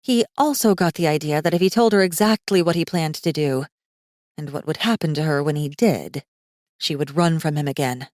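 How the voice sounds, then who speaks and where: Low-voiced woman narrating fantasy fiction. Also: moderate pace, a little mellow, no echo, studio quality. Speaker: audiobook narrator.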